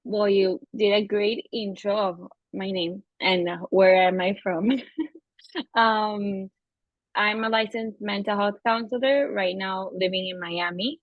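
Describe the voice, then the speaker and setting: A young woman with a Venezuelan accent, a native Spanish speaker, talking thoughtfully and a little haltingly as a therapist guest in a casual interview. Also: medium pitch, fairly even intonation, no echo. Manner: thoughtful, halting, searching for words. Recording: slightly dull lo-fi audio.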